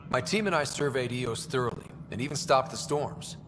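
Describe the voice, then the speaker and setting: An actor voicing a steady young male explorer leader in a video game who gives brief, level replies. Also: medium pitch, normal speed, in a large hall, background noise, close to an everyday voice. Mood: calm. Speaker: Pathfinder, player character.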